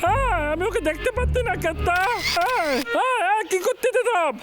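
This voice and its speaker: A woman voicing a gullible, childlike cartoon bear, whiny and exclamatory, quick to boast and easily scared. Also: very high-pitched, animated, over music. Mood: impatient. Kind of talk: dubbed children's cartoon acting.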